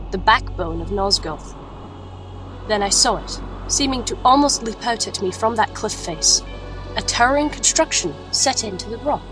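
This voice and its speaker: A woman playing a grave female alchemist who recounts her quest in measured, dramatic audio drama narration. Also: fairly high pitch, lightly acted, low-quality recording. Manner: measured, solemn storytelling. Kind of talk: dramatic scripted first-person narration.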